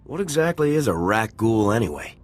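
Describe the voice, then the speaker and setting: An easygoing army lieutenant with a fairly low voice and a relaxed, dry way of talking. Music runs clearly underneath in this game cutscene. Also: male voice, a little fast. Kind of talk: video game cutscene voice acting. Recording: game audio with music bed.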